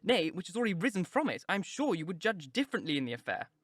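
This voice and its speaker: A serious, sensible young woman pleads her case earnestly and firmly, as a male narrator puts her on. Her voice sits raised and bright, with a close, dry sound. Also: sits high, sing-song, fast, dry recording, voice pushed up, exaggerated voice.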